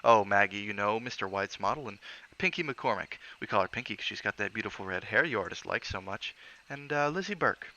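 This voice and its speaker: A man's voice: lowish voice, lively intonation, a little fast, pushed and bright, a little hiss or hum. A man voicing a cheerful young female artist's model who chatters eagerly. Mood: chatty. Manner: bubbly chatter. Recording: dull lo-fi audio.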